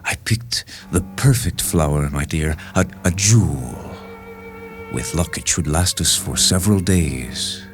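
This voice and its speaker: A man's voice: deep voice, expressive, a little slow, over music, voice pushed up. A man voicing a refined, melancholy count who speaks wistfully and fondly in a dramatised story reading. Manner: wistful, hesitant, sighing. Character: refined, melancholy count, fond of his wife.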